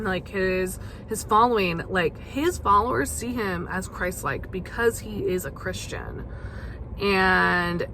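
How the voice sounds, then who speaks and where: A young woman hosting a casual solo podcast, chatty and full of fillers, her voice gravelly as she loses it. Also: mid-range voice, fairly bright tone, slight background noise, low-quality recording. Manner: chatty, many fillers and restarts. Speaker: podcast host.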